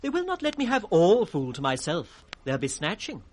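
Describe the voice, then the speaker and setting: An impudent court fool, teasing and riddling in a sing-song way. The voice sits fairly high and leaps around with lively inflection, in classic 1960s radio Shakespeare style. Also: male voice, fairly quick. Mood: mocking. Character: witty, mocking court fool, teasing the king. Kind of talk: 1960s British radio Shakespeare acting, with sung rhymes. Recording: vintage 1960s radio drama recording.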